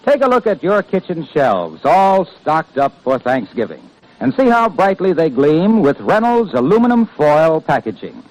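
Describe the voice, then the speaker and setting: 1950s radio announcer: American man, salesmanlike and polished, raised pitch, swooping intonation. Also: very animated, moderate pace, darkish tone, rough recording.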